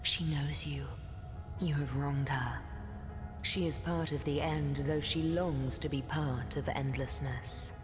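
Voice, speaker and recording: Low, slow mystical oracle. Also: female voice, steady tone, over music. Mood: cryptic, solemn. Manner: slow, portentous, archaic phrasing. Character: mystical female oracle who weaves time, solemn and cryptic. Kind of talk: fantasy game character dialogue.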